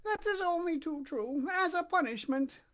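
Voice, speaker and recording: A man voicing a wooden puppet boy, speaking high, prim and emphatic in a storybook reading. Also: expressive, normal speed, a bit bright. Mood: indignant.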